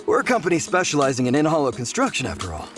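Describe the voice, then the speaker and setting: A man's voice: a little high, huge pitch swings, racing, fairly bright tone. A man voicing a chatty, friendly construction worker in casual game trailer dialogue. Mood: friendly, teasing. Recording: music under the voice.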